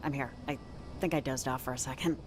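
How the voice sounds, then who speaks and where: A woman's voice: a bass voice, fairly even intonation, over music. A woman acting a confused, hesitant young woman on a new field job in a video game, in a naturalistic style. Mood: sleepy, low-key. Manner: hesitant, halting.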